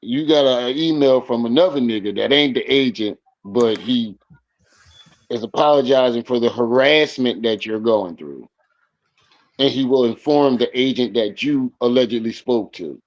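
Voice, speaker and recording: A man's voice: mid-range voice, normal speed, muffled recording. A man co-hosting a live stream, chatting casually and restarting his sentences often. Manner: rambling, many restarts. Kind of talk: casual commentary chat.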